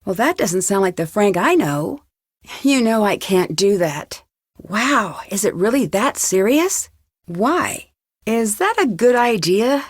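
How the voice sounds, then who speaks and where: A woman's voice: mid-range voice, very animated, slow, no echo, clean studio sound. An actress playing an inquisitive, polite young woman detective in a video game, speaking in an earnest, questioning way. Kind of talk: video game dialogue acting.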